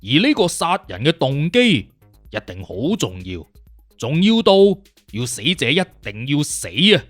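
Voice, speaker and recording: A man's voice: medium pitch, huge pitch swings, measured pace, fairly bright tone, dry recording, soft background music, heavily acted. A man playing a witty, conceited detective consultant in an audiobook, with a Cantonese accent and an emphatic, lecturing, self-assured manner. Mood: confident deducing. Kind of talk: audiobook character acting.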